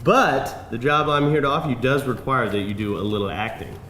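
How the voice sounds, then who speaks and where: An actor playing a smooth, defensive man pitching a shady job in a live screenplay table read. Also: a little fast, bright, forceful tone, close to an everyday voice. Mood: defensive, persuasive. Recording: roomy live venue, faint noise.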